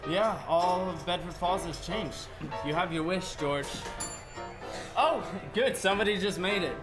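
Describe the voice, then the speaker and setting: A man playing a gentle, earnest, childlike elderly angel in a 1940s-style radio play. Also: high voice, unhurried, low-quality recording. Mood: cheerful. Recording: live stage play with music behind.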